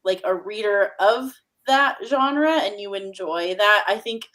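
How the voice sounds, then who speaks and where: A woman with a mid-range voice shares her views in a casual book chat. She sounds measured and reflective as a panelist. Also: mid-range voice, fairly bright tone.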